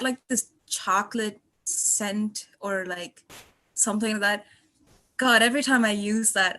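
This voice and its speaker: A woman's voice: mid-range voice, bright, forceful tone. A woman in her twenties from Malaysia chatting casually on a podcast, effusive and rambling as she tells her stories. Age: 20s. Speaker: podcast guest and student contributor.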